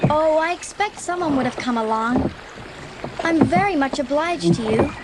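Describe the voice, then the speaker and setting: A woman's voice: high-pitched, expressive, moderate pace, heavy background noise. A woman playing a fiery, dreamy young girl with earnest, wordy phrasing in a dramatised audiobook. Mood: proud, cold. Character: fiery, dreamy, earnest eleven-year-old orphan girl.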